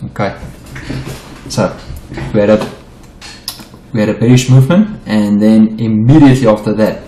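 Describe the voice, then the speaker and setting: A low-pitched South African man with Afrikaans as his mother tongue, talking through a chart lesson in a loose, halting way with restarts and repeats. He sounds like he's in an echoey room, with a faint hiss behind him. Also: mellow. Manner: stumbling, repeats himself while explaining. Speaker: forex trading teacher. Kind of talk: unedited screen-share chart walkthrough.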